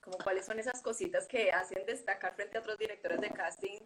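A woman's voice: medium pitch, steady tone, fairly quick, a bit bright. Woman, interview host, short affirmations, asks questions. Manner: short backchannel replies, reads audience questions. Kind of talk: casual interview.

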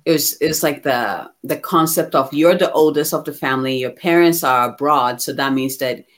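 A woman from Ghana talking candidly and reflectively about herself as a guest, in an expressive conversational way. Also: low-pitched, moderate pace. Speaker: guest receiving a card reading.

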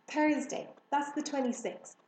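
A woman's voice: high-pitched, steady tone, unhurried, a little mellow, roomy sound, slight background noise, voice pushed up. A woman reading a storybook, voicing a kindly, polite and friendly adult woman.

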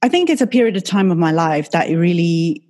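Soft-pitched middle-aged woman, Mandarin native. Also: expressive, a little fast. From Taiwan. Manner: friendly, reflective, with fillers. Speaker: guest, medical doctor. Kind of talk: casual podcast interview.

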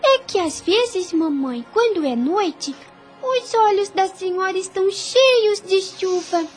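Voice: extremely high pitch, lively intonation, slow, faint music behind, heavily acted. An actor playing a devout, innocent and inquisitive little girl in a radio soap opera, speaking in an earnest, childlike way. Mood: wistful, curious.

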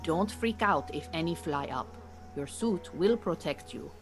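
A woman playing a calm, capable Iranian beekeeping teacher in a naturalistic audio drama, giving measured, reassuring instruction. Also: on the low side, faint music behind. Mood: calm, instructing. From Iran.

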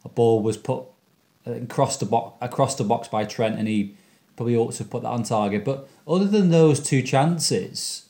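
Mellow-voiced man analysing football. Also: mid-range voice, moderate pace. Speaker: podcast co-host. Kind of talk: casual fan podcast discussion.

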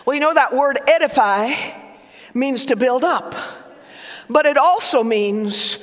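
Fervent high-voiced Southern preacher man. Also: expressive, measured pace, big-room sound. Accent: Southern US (Oklahoma). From Oklahoma, USA. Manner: fervent preaching, swings from shouts to hushed whispers. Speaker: pastor. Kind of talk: sermon.

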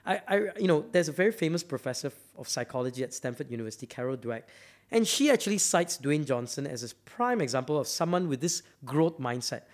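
A man's voice: on the high side, animated, quick pace. A man from Malaysia giving a motivational talk, emphatic and often repeating words as he builds up to a point. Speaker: speaker.

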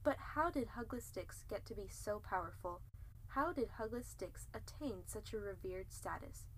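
A woman's voice: medium pitch, animated, normal speed, a bit bright, slight background noise, rough recording. A young woman co-hosting and narrating a student podcast in a casual, playful style. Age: young adult. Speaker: co-host and narrator.

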